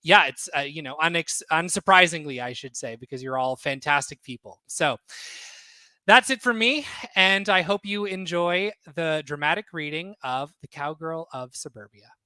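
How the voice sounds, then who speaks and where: Bright, clear-voiced man hosting. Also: a little high, moderate pace, no room sound, poor audio. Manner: appreciative. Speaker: host, event organizer. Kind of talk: informal thank-you speech.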